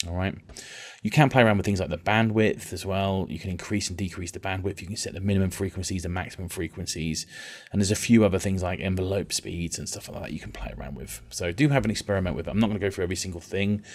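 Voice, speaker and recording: A man explaining music software as a tutor, in an informal, rambling, conversational way. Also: low voice, fast. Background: synth and vocoder music.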